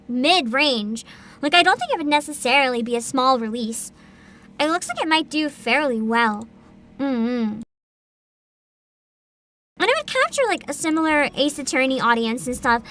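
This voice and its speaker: A woman's voice: high-pitched, bright, forceful tone. A woman streamer chatting casually on a livestream, thinking aloud, hesitant and often laughing.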